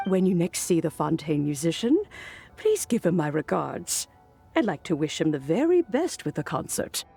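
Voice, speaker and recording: A woman playing a gentle, wistful old granny in a video game, soft-spoken and measured, with formal old-fashioned phrasing. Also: fairly low pitch, big pitch swings, gravelly, slightly rough, faint music behind, full character voice. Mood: kindly.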